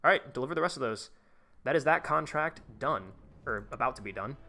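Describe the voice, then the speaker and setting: A man's voice: mid-range voice, animated, brisk, pushed and bright, faint music behind. A man live-streaming a tycoon game, chatting casually with his viewers in a rambling, profane way while he plays. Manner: rambling, profane, talks to chat while playing.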